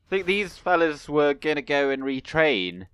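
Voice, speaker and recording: A man's voice: a little high, big pitch swings, normal speed, a bit bright, clear, resonant tone, dry recording. A young man with a cold and a bunged-up nose, chatting casually as he plays a strategy game and rambling with frequent self-corrections. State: congested with a cold. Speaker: gaming video host.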